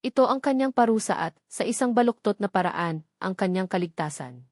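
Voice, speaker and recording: A woman's voice: mid-range voice, expressive, fairly quick, darkish tone, dry recording, clean studio sound. A woman with a Filipino accent narrating a scripted romantic drama as a storyteller.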